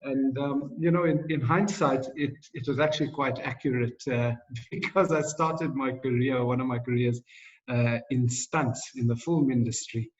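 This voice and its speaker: Male guest in his thirties, reflective and hesitant, mid-pitched voice, heard from a distance on dull, muffled audio. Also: fairly even intonation, normal speed. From South Africa. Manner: thoughtful, many fillers, laughs lightly. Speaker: interview guest, financial coach. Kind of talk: reflective interview answers.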